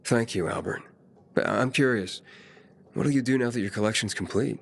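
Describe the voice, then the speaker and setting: An actor playing a plain-spoken, honest young male survivor who talks in a low-key, candid way. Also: brisk, creaky, a little hiss or hum, close to an everyday voice.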